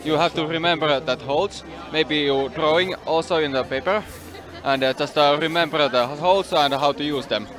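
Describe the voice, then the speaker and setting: A man with a Finnish accent answering interview questions in halting, broken English with many uhs. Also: on the high side, crowd noise. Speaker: athlete interviewee. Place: outdoors at a climbing event.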